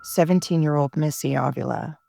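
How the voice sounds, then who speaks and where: A woman's voice: low voice, brisk, gravelly, dark tone. A woman speaking as a documentary interviewer, recounting and asking questions in a reflective style.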